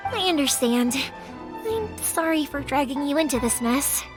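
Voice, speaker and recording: A bright, high and very lively young fireworks maker. She is upbeat, chatty and caring, and game music plays behind her. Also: normal speed. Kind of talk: video game voice acting. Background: game background music.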